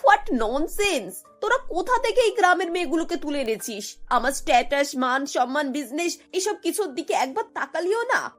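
Woman acting haughty middle-aged cartoon mother-in-law, high voice, bossy, scornful, English words mixed in, faint music. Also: big pitch swings, normal speed. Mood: outraged.

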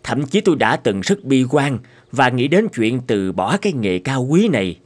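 A man voicing troubled, thoughtful doctors and professors in a cartoon drama, formal and measured. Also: medium pitch, very animated. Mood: earnest, concerned. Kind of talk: animated cartoon drama dubbing.

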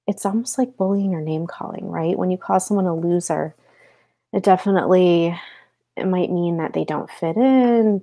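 A woman's voice: lowish voice, dark tone. An American woman in her thirties teaching a read-aloud lesson, explaining things and checking in with questions. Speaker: elementary school teacher. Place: small home office, indoors.

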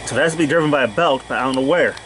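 A man's voice: medium pitch, very fast, noisy background. A man giving casual, off-the-cuff repair commentary in a plain-spoken, thinking-aloud way, recorded on a handheld camera in a cluttered workshop. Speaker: hobbyist repairer narrating own video.

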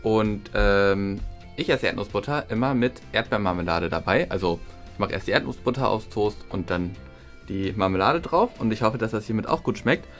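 A man's voice: lowish voice, lively intonation, moderate pace. A man in his twenties chatting casually as he reviews shopping finds in a vlog. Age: 20s. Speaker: vlogger. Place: small indoor room. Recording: music behind the voice. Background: background music.

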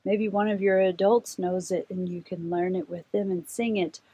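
A woman's voice: on the low side, level tone, moderate pace, dark tone, slightly rough. A middle-aged woman hosting a children's story time, reading aloud expressively and putting simple questions to her young listeners.

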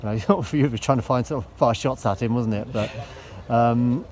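Man, English accent, interviewer, hesitant, rambling, quick, outdoor noise. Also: medium pitch, a little mellow. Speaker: club media interviewer. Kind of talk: post-match interview.

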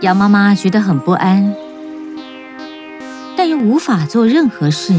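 A woman's voice: medium pitch, very animated, a little slow, darkish tone, soft background music. A woman storyteller giving scripted narration for a children's tale.

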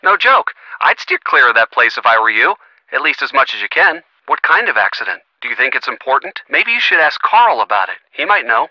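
An actor playing a supportive, teasing young boyfriend in a video game, earnest and lightly wry, heard through a phone filter. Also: male voice, medium pitch, big pitch swings, brisk, sharp tone, no echo, full character voice. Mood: attentive, concerned. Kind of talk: video game voice acting, conversational. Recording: thin, band-limited phone-like sound.

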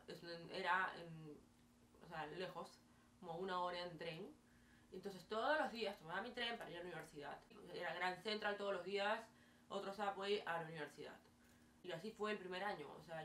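A young woman with a Peruvian accent talks to the camera from her bedroom, chatty and full of fillers as she gestures. Her voice sits a little low for a woman. It is picked up from a few feet away, so the room's echo is clear and a faint hiss runs under it. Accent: Peruvian Spanish. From Peru. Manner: chatty, animated hand gestures, frequent fillers. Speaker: vlogger. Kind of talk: casual storytelling to camera. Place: small bedroom, indoors. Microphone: camera mic at a distance. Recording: roomy, distant, faint hiss.

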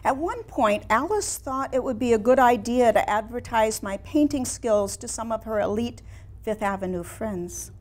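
A woman in her sixties playing a proud, wistful woman painter in a theatrical, deliberate staged reading. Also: on the high side, expressive, a little mellow, close to an everyday voice. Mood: indignant, resigned. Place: small room in a house before a seated audience.

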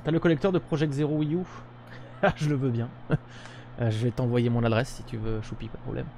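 Gravelly young man streaming casually. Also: medium pitch, fairly even intonation, brisk, mellow. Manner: rambling, lots of fillers. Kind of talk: casual live-stream chat. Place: home room with webcam. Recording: noisy stream with game sound.

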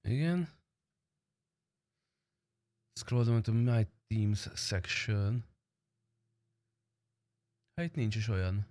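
A man's voice: on the low side, animated, darkish tone, no room sound, studio quality. A young man chatting casually on a game livestream in informal, slangy, sometimes profane speech. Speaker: game streamer.

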